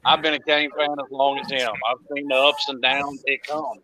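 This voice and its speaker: A man's voice: mid-range voice, level tone, moderate pace, crowd noise, low-quality recording. A man in his thirties talking college football casually as a panelist, blunt and emphatic, repeating points to drive them home. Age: 30s. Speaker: panelist on college football fan talk show. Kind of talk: casual sports debate.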